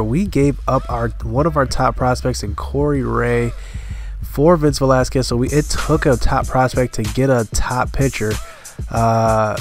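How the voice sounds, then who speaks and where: A man with a stuffy, slightly congested voice talks through his game at an easy, mid-pitched level. He thinks aloud as he goes, filling pauses with "uh", while soft music plays faintly underneath. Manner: thinking aloud, relaxed, many fillers. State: stuffy, recovering from a cold. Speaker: gaming channel host. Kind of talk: casual gameplay commentary.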